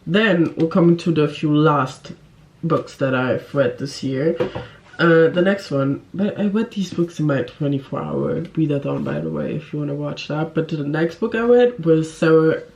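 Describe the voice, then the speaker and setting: A woman's voice: deep voice, moderate pace, creaky, darkish tone, muffled recording, slight background noise. A woman chatting casually to camera as a book vlogger, with an informal, giggly, effusive manner.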